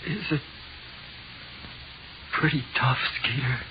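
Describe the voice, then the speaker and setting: A man's voice: mid-range voice, slow pace, close to an everyday voice. A man acting in a 1940s radio horror play as a frightened, pleading prisoner, nervous and slangy, haunted in his cell. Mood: fearful. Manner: nervous, pleading, slangy tough-guy speech. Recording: muffled, hissy 1940s radio recording.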